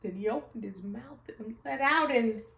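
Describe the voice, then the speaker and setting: A woman's voice: fairly high pitch, normal speed, vocal fry, fairly bright tone. A woman storyteller reading a rhyming children's picture book aloud with expression. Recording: echoey room, far from the mic.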